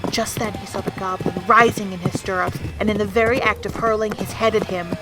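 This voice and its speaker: A woman's voice: medium pitch, steady tone, fairly quick. Theatrical woman narrator over music. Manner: theatrical, swelling from hushed to loud. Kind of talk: dramatic scripted story narration.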